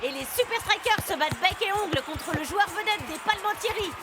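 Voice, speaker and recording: A man playing a bombastic, excited football commentator in a French cartoon dub. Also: extremely high pitch, fairly quick, very noisy. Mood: thrilled, hyped. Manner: bombastic, hyped. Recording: loud music behind the voice.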